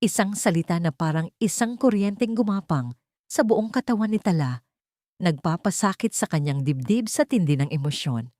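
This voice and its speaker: Filipino female audiobook narrator, low register, dry close sound, dramatic scripted storytelling. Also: expressive, normal speed, mellow, dry recording.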